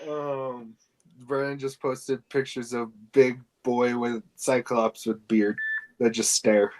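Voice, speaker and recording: A man with a mid-pitched voice hosts a loose group chat. He speaks casually and jokingly, often hesitating and rambling as he moves the conversation along. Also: level tone, takes it slowly, darkish tone, low-quality recording. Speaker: podcast host.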